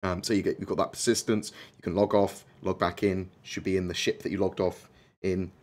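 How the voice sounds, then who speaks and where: A man from the UK hosting a gaming stream, hoarse, chatting casually with lots of ums and uhs. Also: deep voice, little pitch movement, fairly quick. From United Kingdom. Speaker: gaming channel host. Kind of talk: casual livestream chat.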